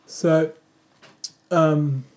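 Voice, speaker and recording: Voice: medium pitch, steady tone, extremely slow, darkish tone. An interviewer who mostly gives short affirmations and asks probing follow-up questions. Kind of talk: conversational interview.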